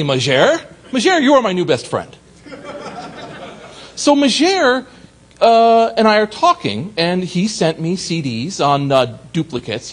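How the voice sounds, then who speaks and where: A man's voice: on the high side, husky. A man giving a conference talk, telling a story with profane comic asides and acted-out exchanges. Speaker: conference speaker. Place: conference hall.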